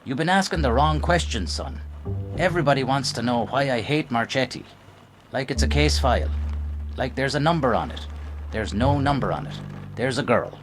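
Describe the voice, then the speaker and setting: An actor playing a weary, haunted old police lieutenant in a 1930s noir radio drama, bitter and resigned. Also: male voice, mid-range voice, lively intonation, moderate pace, faint music behind, natural voice. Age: older.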